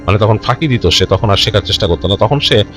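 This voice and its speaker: A man telling a horror story informally and unscripted, in a halting, conversational way with repeated phrases. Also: fairly low pitch, animated, a bit bright. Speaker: storyteller of a ghost story show. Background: background music.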